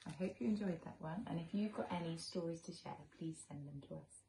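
A British woman in her thirties or forties, a teacher gently reading a children's storybook aloud at home. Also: low-pitched, brisk, vocal fry, a little hiss or hum. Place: living room at home.

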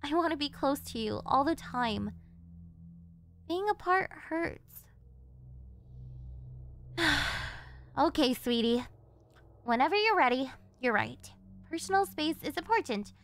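A woman's voice: sits high, animated, slow pace, pauses often, fairly bright tone, heavily acted. An actress playing a clingy, possessive delinquent girlfriend in an ASMR roleplay, sweet and doting with a menacing edge. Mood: excited, coaxing. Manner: doting, pleading, swinging into threats.

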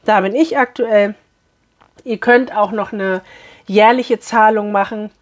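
Hoarse, chatty woman vlogger. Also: mid-range voice, fairly even intonation, a little slow. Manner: chatty, cheerful, talks straight to viewers. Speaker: beauty box unboxing vlogger. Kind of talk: chatty unboxing vlog. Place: small room indoors.

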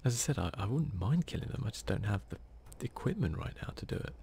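A British man giving casual, hushed ASMR commentary on a video game, grumbling mildly as he plays. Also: mid-range voice, big pitch swings, quick pace, creaky, slight background noise. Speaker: gaming video host.

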